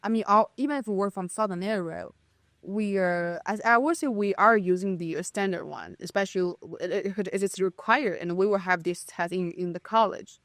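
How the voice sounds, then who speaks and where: A woman's voice: mid-range voice, moderate pace, fairly bright tone, no echo, clean studio sound. Hesitant young woman with a Chinese accent. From China. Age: young adult. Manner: hesitant, many restarts and fillers. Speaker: podcast guest. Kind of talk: casual conversation.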